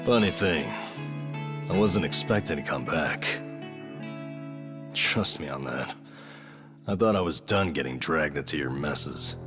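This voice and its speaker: A man playing a gruff, cynical but loyal friend in a video game dub, blunt and wry. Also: extremely low pitch, animated, measured pace, pauses often, music in the background. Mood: resolute.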